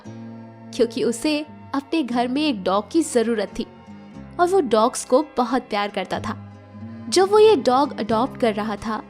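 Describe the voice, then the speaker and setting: A woman with a high voice narrates a scripted story in an emotional storytelling style. Music plays behind her as she reads. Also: darkish tone. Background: background music.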